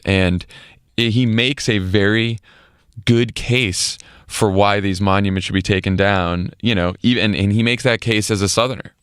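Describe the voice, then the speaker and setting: Low, smooth-voiced American man. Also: fairly bright tone, no room sound, clean studio sound. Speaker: podcast co-host. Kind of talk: casual political commentary.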